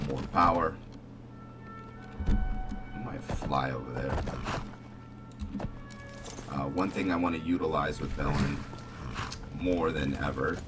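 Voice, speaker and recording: A man giving casual commentary on a roleplay game, talking in a measured, halting way. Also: very deep voice. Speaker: gaming video host. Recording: distant mic under loud music. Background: loud game music.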